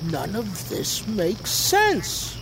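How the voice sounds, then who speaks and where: An actress playing a no-nonsense police officer in an audio drama, brusque and commanding. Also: female voice, mid-range voice, huge pitch swings, extremely slow, vocal fry, noisy background, exaggerated voice. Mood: bewildered. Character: no-nonsense, bewildered police officer on park patrol.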